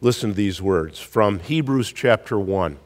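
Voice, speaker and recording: A male pastor with a hoarse, gruff voice from a cold, welcoming a congregation in a slow, folksy way with many pauses. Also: on the low side, expressive, a little mellow. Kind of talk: church service welcome and announcements. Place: church sanctuary. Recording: live church hall with long reverb.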